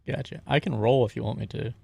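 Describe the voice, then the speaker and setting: A guy with a gravelly edge, close and dry, at an online game night, saying what his character does next. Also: mid-range voice, steady tone, fast, warm tone, dry recording. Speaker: tabletop game player. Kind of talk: casual description of his character's actions.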